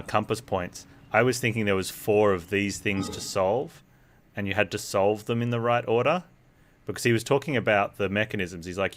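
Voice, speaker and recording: A man's voice: fairly low pitch, slight background noise. A man streaming a game with casual, offhand commentary, musing aloud as he plays.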